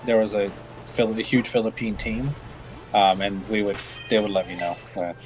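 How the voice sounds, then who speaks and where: A man's voice: on the low side, normal speed, over music, low-quality recording. A man chatting casually as co-host, laid-back and joking, with many "uh"s and "yeah"s. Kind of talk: casual chat.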